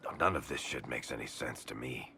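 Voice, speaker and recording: An actor playing a wry, self-deprecating businessman in a video game, talking casually with hesitant pauses. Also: extremely low pitch, gravelly, sharp tone, breathy edge, exaggerated voice. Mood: wry bemused.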